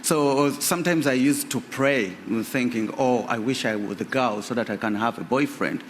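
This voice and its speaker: A man's voice: mid-range voice, moderate pace, slight background noise. A man from Uganda, a guest in a live radio interview, telling his personal story hesitantly, with many fillers. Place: radio theatre stage. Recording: reverberant live theatre.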